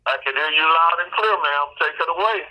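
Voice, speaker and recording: A man hosting a conference call, briskly reading a formal introduction of the guest. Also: a little high, bright, forceful tone, rough recording. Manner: brisk, formal announcer delivery. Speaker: call host.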